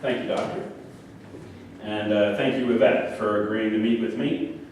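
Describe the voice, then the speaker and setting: A man's voice: on the low side, steady tone, moderate pace, a little mellow, close to an everyday voice. A man in a staged play reading, playing a deluded, obsessive murderer who insistently justifies himself. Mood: pleading, earnest. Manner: insistent, self-righteous. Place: echoey room at a staged reading, far microphone. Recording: very echoey room, distant mic, faint noise.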